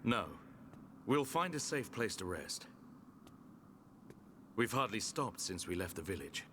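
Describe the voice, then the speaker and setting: An actor playing a seasoned, calm veteran leader in a game who gives the group its orders. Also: male voice, fairly low pitch, a little slow, a little hiss or hum. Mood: calm, commanding. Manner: calm, commanding.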